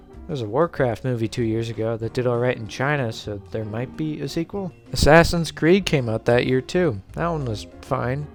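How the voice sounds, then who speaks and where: A man giving casual scripted commentary in a sarcastic, informal manner. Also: medium pitch. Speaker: host of a video essay series. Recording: background music under voice. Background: music.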